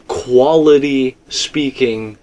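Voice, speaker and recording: A man's voice: mid-range voice, very slow, a little mellow. A man co-hosting a casual, unscripted discussion, talking in a rambling, conversational way full of qualifications. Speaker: co-host of a video game theory discussion.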